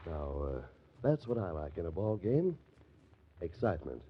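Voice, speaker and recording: An actor playing a wry, macabre host who narrates an old-time radio mystery. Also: male voice, sits low, sing-song, moderate pace, heavy background noise. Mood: wryly amused. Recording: dull, lo-fi old radio recording.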